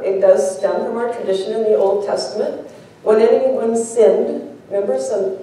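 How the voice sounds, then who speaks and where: A middle-aged American woman, a priest, giving a conversational sermon with an informal, animated storytelling manner. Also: mid-range voice, distant mic. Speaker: priest, preacher. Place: large church sanctuary, speaking before a congregation. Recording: echoey church, picked up from afar.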